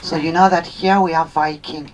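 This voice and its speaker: A woman's voice: low-pitched, fairly even intonation, moderate pace. A woman from Normandy with a French accent, giving a chatty guided tour and stopping to explain terms. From Normandy, France. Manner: chatty, explains terms, asks listeners to check they follow. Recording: distant voice with noisy vehicle background.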